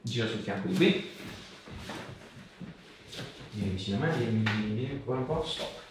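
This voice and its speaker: A man's voice: fairly low pitch, normal speed. A man in his thirties giving short, reassuring treatment instructions as a chiropractor and asking the patient questions. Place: small indoor clinic treatment room. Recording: very echoey room, distant mic, faint noise.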